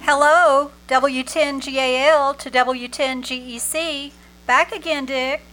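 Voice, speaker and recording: A woman's voice: high-pitched, steady tone, slow, bright, forceful tone, full character voice, poor audio. A woman playing a chirpy, friendly ham radio operator in an old-time radio comedy. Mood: flirty, friendly.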